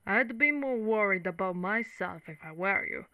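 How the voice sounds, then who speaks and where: A woman playing female undead knight, caring, wry, conversational, mid-pitched, ASMR monster roleplay, dry close sound. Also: expressive, normal speed, no echo, dull audio, lightly acted. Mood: defiant, urgent. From Italy. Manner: reassuring, teasing, conversational. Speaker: voice actress.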